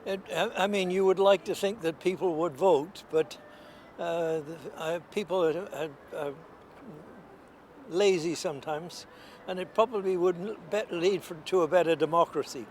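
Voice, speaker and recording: A man's voice: high voice, normal speed, a little mellow. A man musing hesitantly as he answers in a street interview. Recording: faint outdoor street noise.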